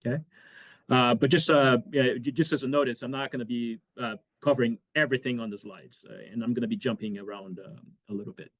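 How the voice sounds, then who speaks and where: A man's voice: medium pitch, fairly even intonation, fast talker. A man in his forties from Brazil, an economics professor giving an academic lecture over a video call, with frequent fillers and restarts. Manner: hesitant, many fillers and restarts. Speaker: economics professor, guest speaker.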